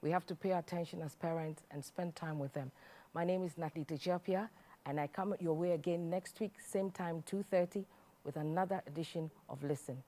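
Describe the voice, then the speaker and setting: A woman's voice: on the low side, steady tone, a little fast, mellow. A woman hosting a studio talk show, closing the episode and addressing viewers directly.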